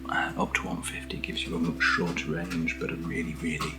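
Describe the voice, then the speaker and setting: A British man speaking softly in a breathy near-whisper, giving an informal, slightly hesitant ASMR product walkthrough as a keen enthusiast. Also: low voice, monotone, a little fast, music in the background. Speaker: ASMR host. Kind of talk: soft-spoken ASMR product unboxing.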